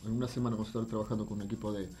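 A middle-aged man with a Uruguayan accent giving explanatory interview answers as a guest, halting and thoughtful, repeating words as he searches for the next one. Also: fairly low pitch, flat delivery, mellow. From Uruguay, raised abroad in France, Venezuela and Argentina. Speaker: interview guest, visual artist. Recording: faint background noise, drifting off mic.

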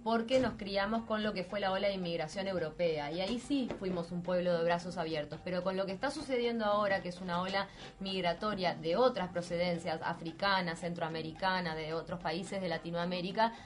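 A woman with a Uruguayan accent, speaking in a measured, reflective way as co-host of a casual discussion. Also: lowish voice. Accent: Uruguayan Spanish.

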